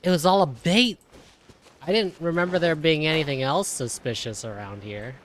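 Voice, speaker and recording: A man's voice: fairly high pitch, normal speed. Casting: young male streamer, slangy off-the-cuff playthrough commentary, slightly noisy recording. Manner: casual, slangy, reactive. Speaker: video game streamer. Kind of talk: live playthrough reaction commentary.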